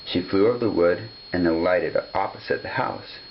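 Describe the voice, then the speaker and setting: A man's voice: low-pitched, normal speed. A man narrating a children's story from the page, on a dull home recording with some hum. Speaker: storybook reader.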